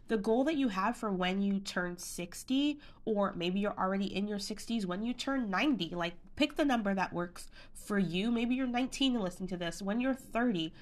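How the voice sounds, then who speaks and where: A woman's voice: mid-range voice, expressive, normal speed, few pauses. Woman podcast host and business coach, casual and upbeat, speaks straight to the listener. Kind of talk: solo motivational podcast talk.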